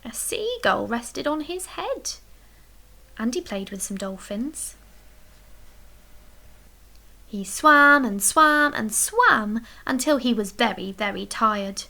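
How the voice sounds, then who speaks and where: A woman reading a children's picture book aloud as a storyteller, expressively, with dramatic emphasis and hushed, breathy dips. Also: a little high, big pitch swings, takes it slowly. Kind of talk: expressive read-aloud bedtime story narration.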